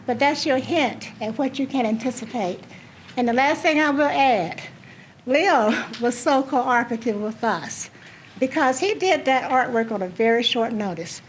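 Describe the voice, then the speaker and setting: Woman, soft voice, high pitch, heartfelt speech, faint ambient noise. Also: rough recording. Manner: heartfelt, storytelling. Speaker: program coordinator speaking at a ribbon cutting. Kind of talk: speech to an audience.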